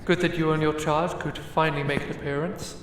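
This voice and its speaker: Man as a pompous fantasy oracle, booming reverb. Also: little pitch movement, a little fast, fairly bright tone, slight background noise, natural voice. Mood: solemn, declamatory. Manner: ceremonial, pompous, declamatory. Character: formal presiding oracle of a fantasy mage council. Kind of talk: audio drama acting. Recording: very echoey hall with long reverb.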